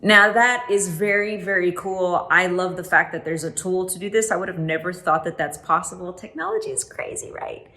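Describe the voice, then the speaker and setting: A woman's voice: on the low side, fairly even intonation, moderate pace, hardly any pauses, pushed and bright. A woman hosting a casual interview in an upbeat way, speaking directly to her viewers. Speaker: host.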